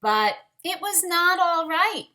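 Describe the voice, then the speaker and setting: A woman teacher leading a read-aloud storytime for young children, speaking deliberately and directly to them. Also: very high-pitched, animated, background noise.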